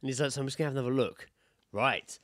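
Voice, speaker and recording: Male British podcast co-host, 30s, matey and sweary anecdote-teller, mid-pitched voice, very dry recording. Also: racing, fairly bright tone, dry recording. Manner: sweary, matey storytelling, quoting himself and others. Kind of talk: casual anecdotal chat.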